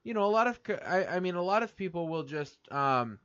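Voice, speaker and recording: A man's voice: high voice, lively intonation, a little fast, fairly bright tone, clear, resonant tone. A man from the United States co-hosting a casual chat show, rambling with many fillers and repeated words. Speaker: podcast co-host. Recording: dull lo-fi sound.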